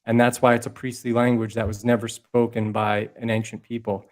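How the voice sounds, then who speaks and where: A man's voice: medium pitch, level tone, a little fast. A man talking as a podcast guest, impassioned and rambling, swearing freely and restarting his sentences as he builds his case. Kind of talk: heated casual conversation.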